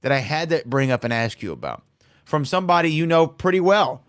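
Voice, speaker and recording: Higher-voiced American man, close dry sound. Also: very animated, brisk, fairly bright tone, dry recording. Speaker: podcast co-host. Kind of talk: casual conversational hosting.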